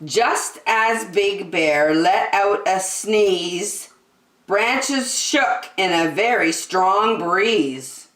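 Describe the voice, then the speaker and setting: A woman reading a children's storybook aloud in an expressive, deliberate storytelling manner. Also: medium pitch. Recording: roomy, echoing sound.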